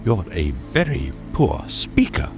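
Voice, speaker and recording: A man playing an irritable, pompous king who gives sharp, threatening commands in an audiobook dramatization. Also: low voice, wildly swooping pitch, a little slow, vocal fry, faint music behind, full character voice. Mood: curt. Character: imperious, irritable king presiding as judge, threatening.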